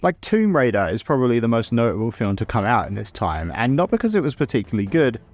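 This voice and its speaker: A man's voice: mid-range voice, sing-song, fast talker, a little mellow. A man narrating a scripted video essay in a conversational, opinionated way, with casual asides. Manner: conversational, opinionated, mildly sweary.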